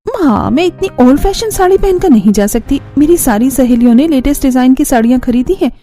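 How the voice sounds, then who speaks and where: Woman acting a young cartoon daughter, high soft-toned voice, plaintive, protesting, faint music. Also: animated, a little fast. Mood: pleading, aggrieved. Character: aggrieved, pleading twenty-year-old daughter. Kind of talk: Hindi family cartoon voice acting.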